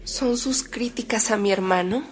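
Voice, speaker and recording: A woman's voice: mid-range voice, moderate pace, natural voice. An actress playing a courteous, inquisitive woman in a Mexican radio drama, with a polite, questioning manner. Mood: questioning, intrigued.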